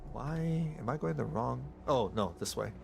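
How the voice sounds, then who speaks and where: A man streaming a game, rambling casually with excited outbursts and stammering restarts. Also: mid-range voice, moderate pace, vocal fry, soft background music. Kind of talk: casual live gameplay commentary.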